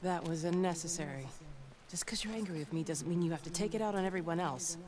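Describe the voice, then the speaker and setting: Determined female scientist played by a woman for a game: deep for a woman, tired, clipped. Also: fast talker, a crowd in the background, close to an everyday voice. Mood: weary, firm. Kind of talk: video game voice acting.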